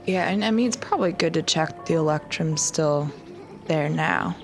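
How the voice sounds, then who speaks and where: A woman's voice: sits low, normal speed, soft background music. A woman playing in a tabletop game, asking the group curious questions. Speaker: player. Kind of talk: tabletop roleplay chat.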